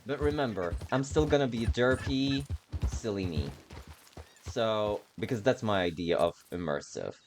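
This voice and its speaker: A man's voice: on the low side, a little slow, a little hiss or hum. A man doing casual, rambling gameplay commentary with playful, self-mockingly silly asides as he streams a video game.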